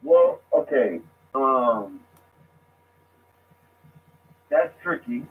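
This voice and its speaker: A man speaking casually as a guest, halting and restarting his sentences. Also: mid-range voice, huge pitch swings. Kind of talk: casual discussion. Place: lives abroad. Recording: tinny phone line with faint noise.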